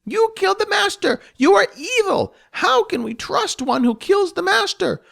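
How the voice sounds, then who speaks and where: A man voicing an anxious male goblin leader who talks about himself in the third person, shrill and insistent. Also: very animated, normal speed, vocal fry, fairly bright tone, exaggerated voice. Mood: shrill alarmed.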